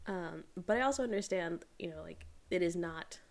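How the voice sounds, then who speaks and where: An American woman whose accent has softened after years in the UK, chatting casually and often laughing as she tells stories. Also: lowish voice, moderate pace, vocal fry. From United States. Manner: chatty, laughs often, acts out quoted voices. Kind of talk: casual chat.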